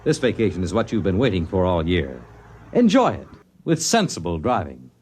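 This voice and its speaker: A man reads a public service spot in the formal, measured style of a radio announcer. His pitch swoops widely from word to word. Faint hiss sits under the old broadcast. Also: sing-song, a little mellow.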